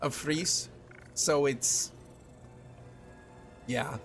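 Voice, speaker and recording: Young man, streamer, casual chat, rambling restarts, a bit high-pitched. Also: drawn-out words, fairly bright tone, soft background music. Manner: chatty, thinks aloud with many restarts and fillers.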